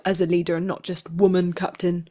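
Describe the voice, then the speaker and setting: A woman's voice: lowish voice, flat delivery, a little fast, dark tone. A woman actor speaking conversationally as an interview guest.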